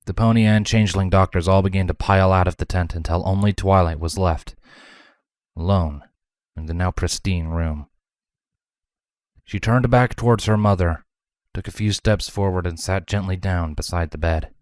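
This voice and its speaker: A man's voice: sits low, moderate pace, a little mellow, dry recording, studio quality. A man reading scripted fantasy fiction as a narrator.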